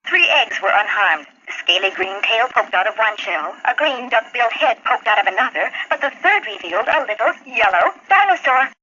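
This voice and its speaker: A woman's voice: fairly high pitch, sing-song, normal speed, hardly any pauses, sharp tone, breathy edge. A woman narrating a scripted children's story, on a muffled 1970s talking toy recording.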